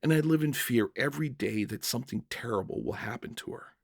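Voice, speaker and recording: A man's voice: medium pitch, a little fast, gravelly, breathy edge, no room sound, studio quality. A man playing a troubled jailer and anxious, protective father in a story reading, guarded and questioning. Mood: anxious, confiding. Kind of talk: dramatic short-story reading.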